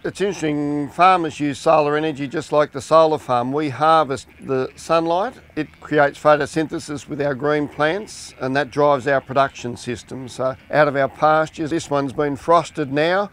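A man's voice: fairly high pitch, steady tone, normal speed, few pauses, a bit bright. An Australian man in his sixties, a farmer and mayor, talking in an outdoor documentary interview. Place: open farm paddock, outdoors.

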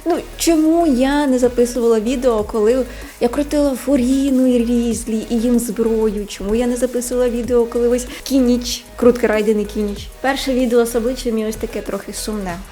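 High-voiced woman, casual gaming vlogger. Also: steady tone, a little fast, dark tone. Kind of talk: casual gacha-pull commentary to viewers. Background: music.

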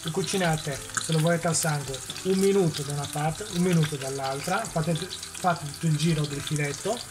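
A man's voice: a little high, moderate pace, background noise. A man hosting a cooking demonstration in a home kitchen, explaining each step and answering his own questions. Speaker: cooking show host.

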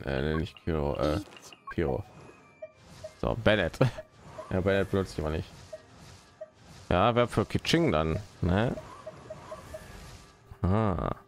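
Male streamer, very low creaky voice, casual rambling play-by-play, muttering to himself over faint music. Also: expressive, slow, pauses often. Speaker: live streamer. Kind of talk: casual gaming stream commentary.

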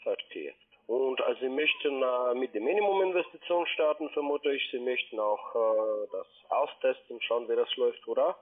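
A man making a polite phone sales pitch in non-native German with grammar slips, as a call center agent. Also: normal speed. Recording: band-limited telephone line.

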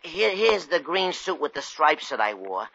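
An actor playing a dim-witted, obedient grown son turned novice crook, hesitant and slangy, in an old 1940s radio comedy recording. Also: male voice, a little high, big pitch swings, moderate pace, sharp tone, no room sound, lo-fi sound, full character voice. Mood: dopey, pleased. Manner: hesitant, slangy, childishly simple.

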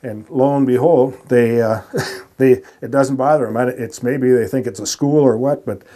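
Halting older man with a mellow voice. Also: medium pitch, fairly even intonation. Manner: halting, many restarts and fillers. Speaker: spear fisherman and decoy carver. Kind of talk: casual explaining on location. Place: small dark ice fishing shack.

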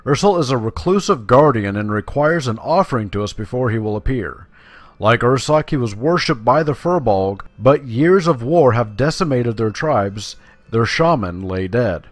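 A man's voice: mid-range voice, animated, moderate pace, no room sound. Chatty, playful man commenting on a game. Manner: chatty, playful, slangy, musing to himself. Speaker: video game player and commentator. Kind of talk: casual gameplay commentary with quest text read aloud.

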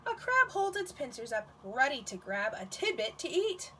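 High, expressive woman reading to children. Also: normal speed, slight background noise. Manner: expressive, questioning, talks directly to children. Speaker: nursery school teacher reading aloud. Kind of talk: picture-book read-aloud to young children.

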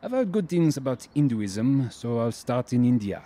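A man's voice: mid-range voice, sing-song, moderate pace, dark tone, natural voice. An actor playing a thoughtful, idealistic young Frenchman, earnest and reflective, in a radio drama. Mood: friendly, light.

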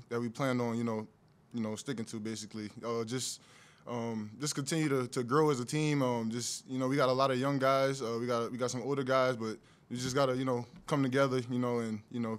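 A young man in his twenties, a college basketball player, answering haltingly with many restarts at a post-game press conference, seated at a table mic. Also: mid-range voice, smooth. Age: 20s. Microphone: desk mic on a stand.

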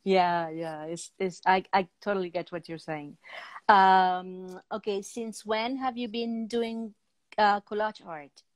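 Halting middle-aged woman hosting. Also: mid-range voice, steady tone, no echo. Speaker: talk show host. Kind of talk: casual video interview, asking questions. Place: room at home, video call.

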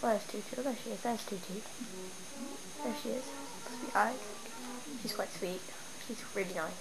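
A young woman with a stuffy cold, chatting and rambling excitedly as she shows off her game town at home. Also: medium pitch, moderate pace, vocal fry. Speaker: gamer showing her town. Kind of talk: casual game walkthrough. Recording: noisy home camera recording. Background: steady background noise.

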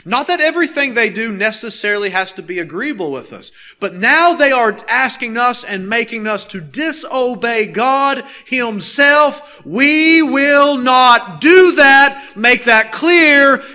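A male pastor in his thirties delivers a sermon in a high, bright voice. He is earnest and emphatic, setting key phrases apart with deliberate pauses and repeating them. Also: lively intonation. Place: nearly empty church sanctuary, behind a pulpit.

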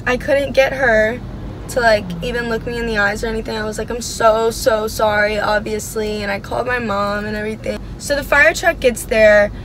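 A woman's voice: on the high side, steady tone, normal speed, rough recording. A young American woman chatting casually and dramatically to camera, like a vlogger telling a story. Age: young adult. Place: inside a car, partly driving. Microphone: camera mounted on dashboard. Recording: loud car and road noise.

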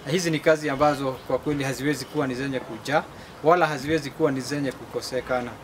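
A man's voice: mid-range voice, fairly even intonation, rapid-fire. A young man with a Kenyan accent gives a fluent interview statement as a youth group leader. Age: young adult. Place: open dirt yard outdoors. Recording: noisy outdoor location sound.

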